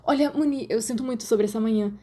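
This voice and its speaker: A woman's voice: a little high, fast, mellow, voice pushed up, close to an everyday voice. A woman with a Brazilian accent voicing a nervous, well-meaning teenage boy in a story, hesitant and awkward. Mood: rushed apology.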